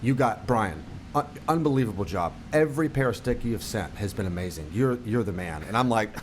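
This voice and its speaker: Rambling, self-deprecating man, slightly low voice. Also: expressive, brisk, a little hiss or hum. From United States. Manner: rambling, self-deprecating, many fillers and restarts. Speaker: guest, percussion company representative. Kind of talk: casual podcast interview.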